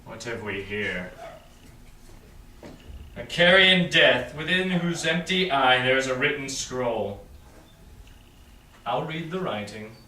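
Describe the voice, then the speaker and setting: Guy acting proud self-assured shakespeare prince, big swings in pitch, declaims, distant mic with faint noise. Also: expressive, pushed and bright, roomy sound. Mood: dismayed, solemn. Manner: declamatory, deliberating aloud with weighty pauses. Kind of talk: Shakespearean verse, staged reading.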